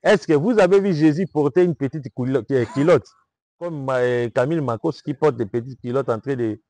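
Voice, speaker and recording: An emphatic man with a Congolese French accent, speaking as a prophet in a sermon-like interview answer. He leans on repetition and rhetorical questions at a middle pitch. The sound is dry and close, with little room echo. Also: moderate pace, darkish tone. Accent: Congolese French (Kinshasa). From Congo (Kinshasa). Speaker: prophet and preacher. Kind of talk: preaching-style interview answers.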